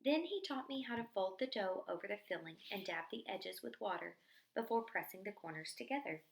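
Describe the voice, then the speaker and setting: A woman reading a diary-style story aloud in an expressive narrating style, acting out the dialogue. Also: medium pitch, fairly quick, gravelly. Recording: echoey room.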